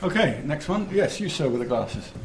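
A man chairing a question session, brisk and directive. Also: on the high side, big pitch swings. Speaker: moderator. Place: lecture hall. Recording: roomy hall with noise.

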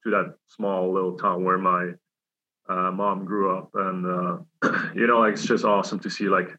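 A man's voice: sits low, level tone, normal speed, bright, forceful tone. A young man from Switzerland, a hockey player, answering interview questions casually with many fillers and restarts. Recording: dull lo-fi sound.

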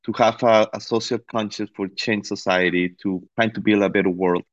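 Low-voiced man with a Costa Rican accent. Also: steady tone, moderate pace, no room sound, muffled recording. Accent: Costa Rican Spanish. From Costa Rica. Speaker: interview guest, professor. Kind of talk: conversational interview.

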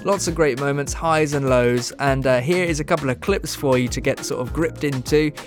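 A man's voice: medium pitch, normal speed. A man hosting a podcast in an informal, conversational presenting style. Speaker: podcast host. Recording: faint music bed behind.

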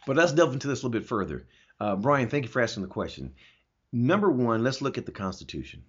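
A man with a rough, husky voice at a medium pitch talks as a show host. He explains his views with emphasis and often asks rhetorical questions. The audio is dull and a little lo-fi. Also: very animated, fast talker. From Germany. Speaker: talk show host answering listener questions. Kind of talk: opinionated conversational commentary.

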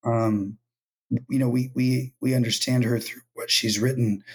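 A man from California, hoarse from losing his voice, talking thoughtfully as a writer guest in a casual podcast interview. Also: mid-range voice, steady tone, measured pace, a little mellow. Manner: thoughtful, many ums and restarts. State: hoarse, lost voice.

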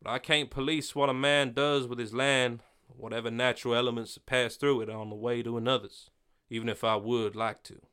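A man voicing a weary, measured male sheriff in a Western story. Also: medium pitch, level tone, fairly bright tone, clear, resonant tone, natural voice. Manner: measured, apologetic.

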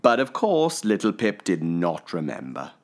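A man narrating a children's story expressively, with theatrical phrasing and deliberate pauses. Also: deep voice, wildly swooping pitch.

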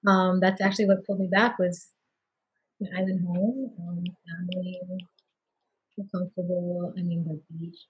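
A woman in her thirties from Hawaii talks as a podcast guest in an easygoing, unhurried way, her answers short and relaxed. Her voice is somewhat husky. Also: on the low side, muffled recording. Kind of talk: casual interview chat.

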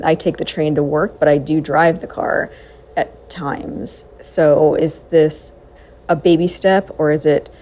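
A woman's voice: low-pitched, dark tone. A woman from the US, leaving a polite, slightly hesitant voicemail question. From Boston, Massachusetts.

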